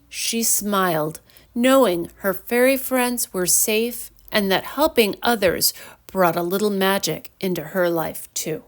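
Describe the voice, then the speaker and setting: A woman storyteller reading a scripted children's bedtime story. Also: on the high side.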